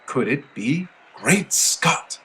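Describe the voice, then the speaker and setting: A man's voice: a little high, very animated, extremely slow, a bit bright, slight background noise, heavily acted. A man playing a confident, upright superhero who poses as a reporter, in old radio serial style. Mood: resolute.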